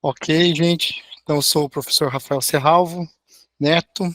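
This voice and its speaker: A middle-aged man with a hoarse voice, an engineering professor giving a friendly online lecture with slides over a video call. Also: a little high, steady tone, a little slow, a bit bright, no echo. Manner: friendly, tags sentences with "né?". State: hoarse, recovering from a sore throat.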